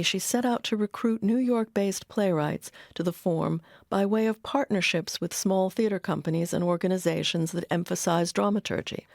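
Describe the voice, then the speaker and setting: A woman reading scripted documentary narration in a measured, informative manner. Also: mid-range voice, darkish tone, no echo, clean studio sound. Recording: faint music bed under narration.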